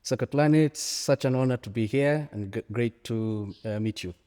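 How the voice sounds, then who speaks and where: A man's voice: medium pitch, normal speed, warm tone. A man in his early forties with a Zambian accent, giving reflective, emphatic interview answers as an academic and often repeating phrases. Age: early 40s. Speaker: interview guest, economics academic. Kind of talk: conversational interview answer, storytelling. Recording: echoey hall with long reverb.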